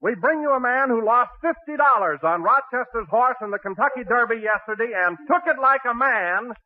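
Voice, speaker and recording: A man's voice: very high-pitched, animated, brisk. A man announcing a 1940s radio show in a projected, showy style. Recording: very noisy, tinny 1940s radio recording.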